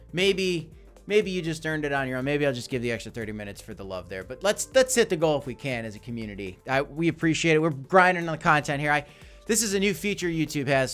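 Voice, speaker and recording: A man hosting a casual crypto live stream, chatty and hyped, calling out viewers by name, his voice going hoarse. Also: a little high, a bit bright. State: losing his voice.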